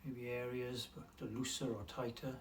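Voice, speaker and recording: Distant-miked man guiding a meditation. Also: medium pitch. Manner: calm, unhurried, with long pauses. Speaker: meditation guide. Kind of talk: guided mindfulness meditation. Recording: distant mic with faint room noise.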